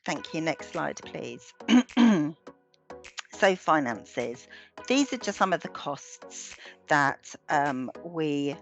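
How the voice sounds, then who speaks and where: A British woman with a slightly low voice talks an online audience through her slides. She is steady but halting, with plenty of ums and restarts, and her voice is starting to go. Faint music sits behind her on the call. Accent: British English. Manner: measured, with frequent ums and restarts. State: losing her voice. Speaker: webinar presenter. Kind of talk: presenting slides in a webinar.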